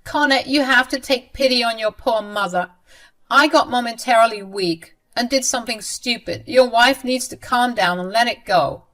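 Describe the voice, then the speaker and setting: A woman voicing a scheming, self-pitying older mother-in-law in a high, shrill, pleading voice. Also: moderate pace, a bit bright, natural voice. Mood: whining.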